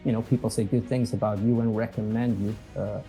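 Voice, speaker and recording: Portuguese-accented man, very dark voice. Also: on the low side, flat delivery, brisk, soft background music, rough recording. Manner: easygoing, meandering, chuckles at himself. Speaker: podcast guest, company executive. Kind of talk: casual interview conversation.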